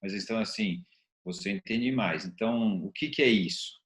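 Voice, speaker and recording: A man's voice: lowish voice, moderate pace, fairly bright tone, roomy sound. A man with a Brazilian Portuguese accent, asking informal, colloquial questions as an interview host.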